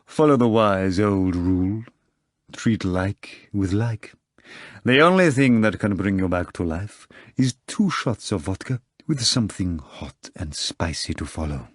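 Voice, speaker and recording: A man voicing a mysterious, courteous foreign stranger in an audiobook, with a low, heavy voice, a foreign accent and a slow, formal, commanding manner. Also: lively intonation, no room sound, studio quality. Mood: smoothly commanding. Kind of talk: audiobook character voice.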